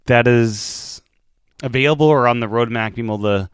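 Smooth-voiced male podcast host. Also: mid-range voice, normal speed, no room sound, studio quality. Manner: relaxed, agreeable, asks follow-up questions. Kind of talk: casual interview.

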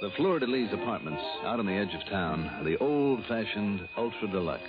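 Radio detective, played by a man: very deep American male, wry and smooth, swinging intonation, muffled old broadcast. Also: animated, brisk, over music, close to an everyday voice. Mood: matter-of-fact narrating. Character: wry, smooth male insurance investigator, easily smitten. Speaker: lead actor and narrator. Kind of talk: 1960s radio detective drama acting and narration.

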